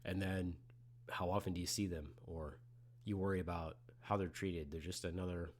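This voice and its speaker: A man in his forties who hosts a podcast and works in medicine, talking frankly and with a lot of swearing, swinging between loud outbursts and quiet musing. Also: low voice, steady tone, normal speed. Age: 40s. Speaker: podcast host in the medical field. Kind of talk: casual solo podcast monologue.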